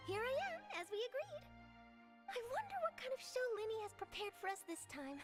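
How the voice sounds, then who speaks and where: Very high, bubbly girl reporter. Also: wildly swooping pitch, brisk, soft background music, full character voice. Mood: eager, gushing. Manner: gushing, dramatic. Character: bubbly, eager young female newspaper reporter. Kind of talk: video game voice acting.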